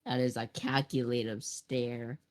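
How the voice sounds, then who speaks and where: A man who is a podcast guest and is suffering from allergies, bantering in a dry, low-key way over a voice call. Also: little pitch movement, a little slow, crowd noise. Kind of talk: casual online chat.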